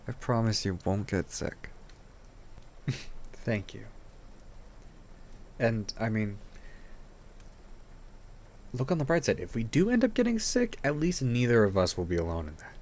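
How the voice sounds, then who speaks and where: A man acts out a devoted young-love boyfriend role in an intimate audio drama. His mid-pitched voice is playful and protective, tripping over words as he teases and comforts his partner. Noticeable noise sits behind the voice. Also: sing-song, a little slow, pauses often, a little mellow. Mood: teasing, cheerful. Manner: teasing, stammering, chuckling, tender.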